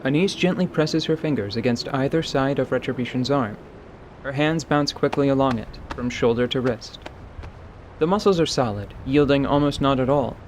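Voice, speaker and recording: A man giving scripted dramatic narration for a tabletop role-playing audio drama, in a measured, literary storytelling manner. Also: medium pitch, a little hiss or hum.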